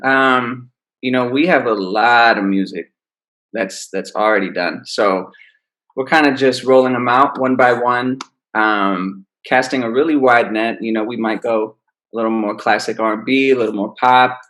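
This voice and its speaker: A man, an R&B singer, answering interview questions in a relaxed, easygoing way. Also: mid-range voice, moderate pace. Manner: relaxed, laid-back explaining. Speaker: R&B singer, guest. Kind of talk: casual interview.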